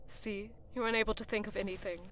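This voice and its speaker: A woman's voice: mid-range voice, level tone, fast talker, fairly bright tone, natural voice. A wistful immortal war goddess, played by a woman with a mid-range voice. She is proud yet melancholy, in an audio drama with some noise behind her. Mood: melancholy, teasing.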